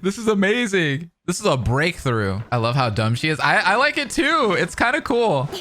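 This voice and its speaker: A woman's voice: fairly low pitch, big pitch swings, normal speed, a bit bright. A young woman doing casual, teasing, slangy live commentary as a gaming streamer reacting to a game.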